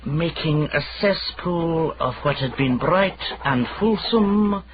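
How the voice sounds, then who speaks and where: An actor playing a wry poet narrator who recites verse about an old city in a halting, theatrical way. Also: male voice, a little high, animated, highs cut off, a crowd in the background. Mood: declamatory. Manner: halting, self-correcting, declamatory.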